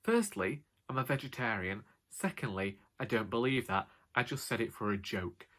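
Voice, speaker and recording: A British man with a loud voice rambling casually to camera in a wry, sarcastic way, like a vlogger. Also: mid-range voice, sing-song, moderate pace. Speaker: vlogger and author.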